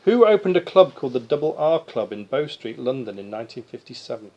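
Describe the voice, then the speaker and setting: A man's voice: mid-range voice, very animated, fast, highs cut off, heavy background noise. A man acting as quiz master, reading questions aloud to contestants at a live quiz. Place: live quiz night, group in a room.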